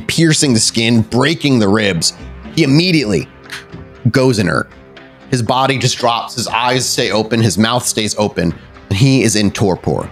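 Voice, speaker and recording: A man narrating a tabletop horror game as storyteller, in a casual, conversational style. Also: mid-range voice. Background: faint music.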